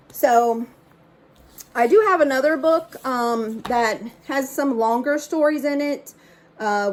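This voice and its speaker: A woman's voice: fairly high pitch, a little mellow. A middle-aged woman reading a picture book aloud and chatting casually to young listeners. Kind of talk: read-aloud storytelling with casual asides.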